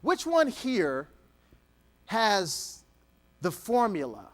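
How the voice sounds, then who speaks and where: Animated Texan man on stage. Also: sits high. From Texas. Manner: animated, dramatic pauses, slow emphatic dictation; playful, jokes with the audience. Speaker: motivational speaker. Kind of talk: keynote speech to an audience.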